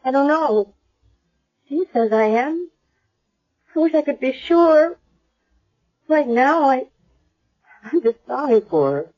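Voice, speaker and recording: An actress playing a young amnesiac woman, unsure and fearful, with halting delivery in radio drama style. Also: high voice, expressive, darkish tone. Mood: miserable, afraid. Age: young adult. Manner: hesitant, halting. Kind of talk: 1970s radio drama acting. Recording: dull vintage radio recording.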